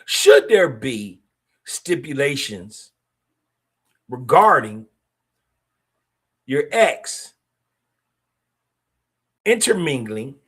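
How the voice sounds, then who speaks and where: A man's voice: medium pitch, very animated, drawn-out words, pauses often, husky. A middle-aged man, hosting a podcast and speaking bluntly in a streetwise, opinionated way. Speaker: podcast host. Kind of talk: casual monologue podcast.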